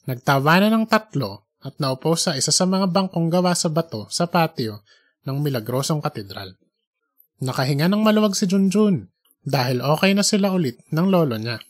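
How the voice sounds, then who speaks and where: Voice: medium pitch, expressive, normal speed. An audiobook podcast narrator reads scripted fiction. The voice sits in a middle register and tells the story in prose.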